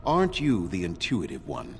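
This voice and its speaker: A man acting as a proud, bitter, ancient elf clan leader in a fantasy game, speaking in a measured, formal and condescending way. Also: low voice, huge pitch swings, a little hiss or hum. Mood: dry, guarded.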